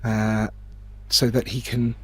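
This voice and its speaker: Man commentating, measured and hesitant. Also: on the low side, little pitch movement. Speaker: sports commentator. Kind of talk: live pool commentary, conversational. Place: remote commentary from his own location.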